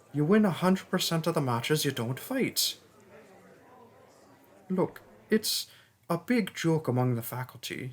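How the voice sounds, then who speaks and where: Man playing a friendly, chatty young college mage. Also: a little high. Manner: casual, rambling, hesitant, jokey. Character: friendly, chatty young male mage student hosting a party. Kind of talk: roleplay audio drama spoken to the listener.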